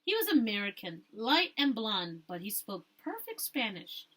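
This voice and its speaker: A woman teacher reading a storybook aloud to pupils and pausing to ask them questions. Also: mid-range voice, sing-song, fairly bright tone.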